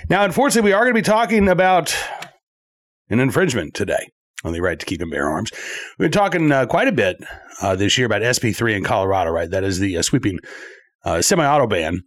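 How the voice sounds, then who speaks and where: A male talk show host who sounds scratchy and stuffed up from allergies, talking in animated bursts with many fillers. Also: on the low side, fast. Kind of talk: conversational political talk show.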